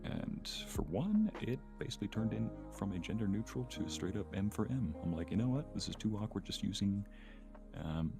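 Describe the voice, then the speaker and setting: A man's voice: on the low side, sing-song, moderate pace, vocal fry. A man chatting casually as a fellow voice actor and creator, inquisitive and explaining things with examples. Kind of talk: casual chat. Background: music.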